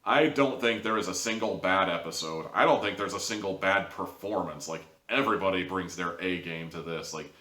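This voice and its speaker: A man's voice: sits low, moderate pace, few pauses, bright, forceful tone. A man talking casually as a reviewer, chatty and informal, with emphatic asides. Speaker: host and reviewer of audio dramas. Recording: roomy, echoey sound.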